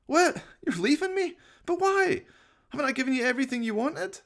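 A man's voice: very high-pitched, expressive, fairly quick, creaky, a bit bright, voice pushed up, exaggerated voice. A man voicing a hapless slacker in a comic radio play, whiny and pleading, stammering and feeling sorry for himself. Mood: nervous, pleading. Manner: stammering, pleading, self-pitying.

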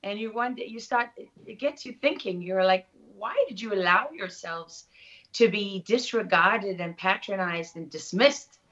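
A woman's voice: medium pitch, a little slow, a bit bright. A middle-aged woman with a South African accent, talking reflectively and anecdotally as an interview guest. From Durban, South Africa. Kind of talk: conversational interview. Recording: slightly dull, lo-fi audio.

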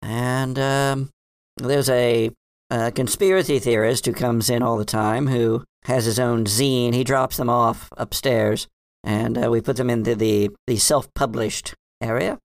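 A man's voice: mid-range voice, steady tone, unhurried, no room sound, close to an everyday voice. A man playing a hesitant, bookish librarian in a tabletop game, rambling and hedging in an earnest, mildly dismissive way. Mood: hesitant, recounting. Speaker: game master. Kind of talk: improvised in-character tabletop roleplay.

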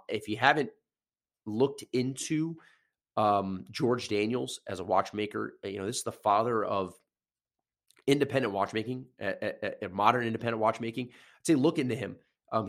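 A man hosting a podcast alone, sounding nasal while getting over a sinus infection, talking casually with many fillers and restarts. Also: on the low side. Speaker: podcast host. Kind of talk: casual solo podcast talk.

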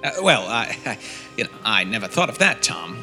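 A man's voice: fairly low pitch, very animated, moderate pace, creaky, music in the background. A man playing a nervous, bumbling amateur detective in a comedic radio play, stammering and evasive. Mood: flustered.

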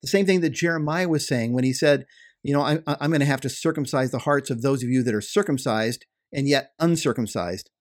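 A man's voice: medium pitch, fairly quick. A man co-hosting a podcast, earnestly explaining theology and restating his points for emphasis. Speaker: podcast co-host. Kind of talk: theological conversation.

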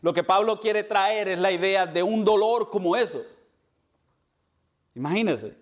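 A man's voice: high voice, a little slow. A man preaching a sermon as pastor, slipping in English words and laughing at his own stumbles.

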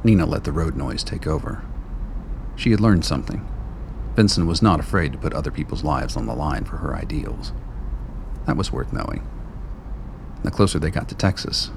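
A man narrating a scripted radio drama in a measured, wry storytelling manner. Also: very deep voice, animated, gravelly, noisy background.

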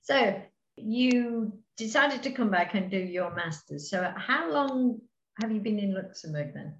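A woman's voice: medium pitch, expressive, a little slow, fairly bright tone. A woman hosting a podcast interview in a chatty, friendly way. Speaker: podcast host and mentor. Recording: roomy, dull lo-fi sound.

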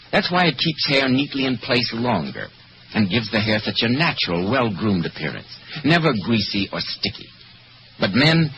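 A man announcing in a polished, emphatic, scripted 1940s radio sponsor style. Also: lowish voice. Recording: muffled, noisy old radio broadcast.

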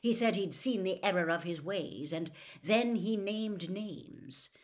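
A woman voicing a troubled adult male wizard who gives earnest, hurried warnings in a storybook reading. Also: medium pitch, pitched above the performer's own voice, lightly acted. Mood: urgent.

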